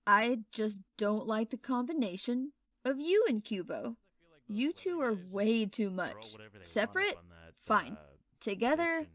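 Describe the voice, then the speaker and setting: A woman's voice: on the high side, very animated, takes it slowly, dry recording. A woman playing a blunt, sardonic young woman in an audio drama, teasing drily. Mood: exasperated teasing.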